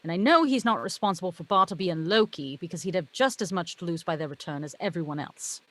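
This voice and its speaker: A woman's voice: lowish voice, fast talker, a bit bright. A woman reading the part of a wry, knowing, articulate heavenly muse in a film script read-through. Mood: wry explaining.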